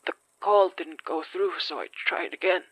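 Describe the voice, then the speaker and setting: A woman playing a shaken, hesitant fire survivor in an audio horror drama, hoarse and breathy, speaking haltingly and apologetically. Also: lowish voice, dry recording, muffled recording. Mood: uneasy recollection.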